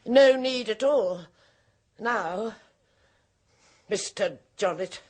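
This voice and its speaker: An actress playing a knowing, mocking woman in a radio drama, speaking in a slow, drawn-out manner. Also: mid-range voice, darkish tone. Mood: sly. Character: knowing middle-aged woman, sister of a medium, sly and mocking. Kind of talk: 1970s radio drama acting.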